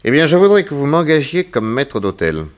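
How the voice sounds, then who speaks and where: An actor playing a composed middle-aged private detective in an early sixties French radio drama, polite, brisk and commanding. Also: male voice, fairly high pitch, sing-song. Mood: courteous. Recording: old radio production with music behind.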